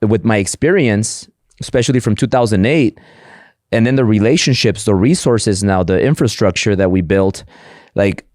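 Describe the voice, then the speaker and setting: A man's voice: lowish voice, darkish tone, dry recording, studio quality. A middle-aged man whose first language is Spanish, talking eagerly in a casual interview, often repeating words and restarting sentences. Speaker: podcast guest, entrepreneur.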